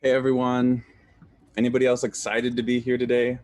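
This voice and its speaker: A man's voice: mid-range voice, completely flat delivery, fairly quick, darkish tone, dry recording. A man introducing the keynote speaker on a video call, reading a prepared bio with an upbeat manner.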